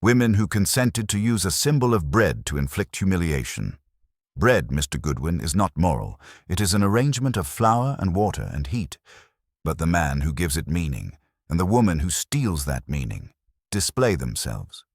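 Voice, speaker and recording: A man's voice: low voice, very animated, normal speed, dry recording, studio quality, exaggerated voice. A man voicing a ponderous, haughty older detective who pronounces formal, pedantic judgments in an audiobook mystery. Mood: lofty, disapproving.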